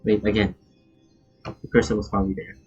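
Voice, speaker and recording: A man's voice: on the low side, completely flat delivery, brisk, a little mellow, breathy edge. A man live-streaming a video game, reacting casually in short exclamations. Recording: dull, muffled audio with game music. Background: game music.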